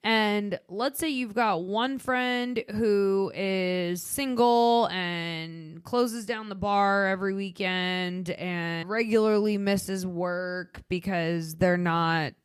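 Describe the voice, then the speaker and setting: A woman's voice: medium pitch, clear, resonant tone, dry recording, clean studio sound. A woman in her thirties with a Chicago accent, co-hosting a podcast in casual, candid conversation. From Midwestern United States. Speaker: podcast co-host.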